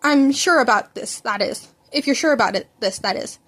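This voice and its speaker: An earnest, self-doubting teenage boy, played by a woman reading fan fiction. His voice is high and easily flustered. He stumbles through his sentences but can still answer back with sarcasm. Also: animated, moderate pace, fairly bright tone, breathy edge. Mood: eager, flustered. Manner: hesitant rambling with sarcastic retorts. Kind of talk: fan fiction audiobook character voice.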